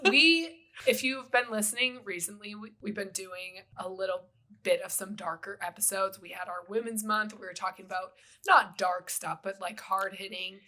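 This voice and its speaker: Bright, raspy young woman podcaster. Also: medium pitch, fairly even intonation, moderate pace. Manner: chatty, swears, laughs often. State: raspy from a cold. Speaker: podcast co-host. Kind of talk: casual chat.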